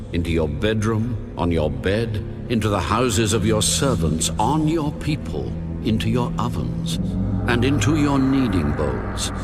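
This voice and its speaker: An actor playing God as a solemn, commanding male deity in a dramatised audio Bible, speaking deliberately. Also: lowish voice. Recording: music under the voice. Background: background music.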